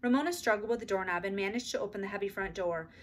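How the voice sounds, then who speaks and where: A middle-aged woman reading a children's book aloud in expressive storybook narration, recorded in a room at home. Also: mid-range voice, very fast, slight background noise. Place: small indoor room at home.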